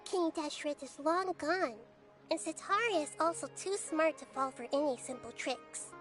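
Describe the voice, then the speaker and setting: A woman playing a small, calm, wise young female schemer in a video game, measured and gentle. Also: sits high, over music, lightly acted. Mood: calm scheming. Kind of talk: video game character acting.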